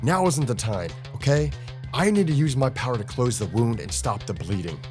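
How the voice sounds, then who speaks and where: A man's voice: medium pitch, expressive, normal speed, darkish tone, pitched above the performer's own voice, natural voice. A man voicing a feisty, desperate teenage manga heroine in an urgent, pleading, sweary manner. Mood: angry, urgent. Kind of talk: manga read-aloud. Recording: music under the voice.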